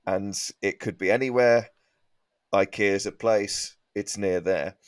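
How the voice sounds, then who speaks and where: Dry man, low-pitched and close. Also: steady tone, unhurried, dry recording. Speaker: comedian, podcast panel guest. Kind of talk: comic anecdote and commentary.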